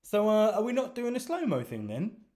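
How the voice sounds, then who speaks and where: A man's voice: high-pitched, wildly swooping pitch, normal speed, darkish tone, pitched above the performer's own voice. A man voicing a goofy, theatrical young man who is overexcited. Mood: giddy.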